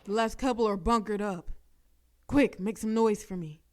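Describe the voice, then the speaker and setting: A woman's voice: medium pitch, normal speed. A woman as a teasing mafia boss lady. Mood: focused. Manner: teasing, flirtatious. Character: flirtatious female mafia boss, bold and teasing. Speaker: voice actress. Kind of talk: audio roleplay acting.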